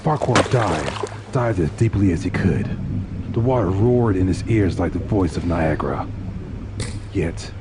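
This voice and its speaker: A man with a deep, low voice narrates a dark tale in a dramatic, scripted style. Clear ambient noise runs behind his reading. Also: lively intonation, unhurried.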